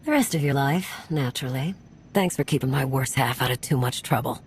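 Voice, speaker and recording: A woman's voice: rumbling low, fairly quick, slightly rough, heavily acted. A woman voicing a bossy, unforgiving partner in crime in a video game, with dry, teasing sarcasm. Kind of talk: video game cutscene acting.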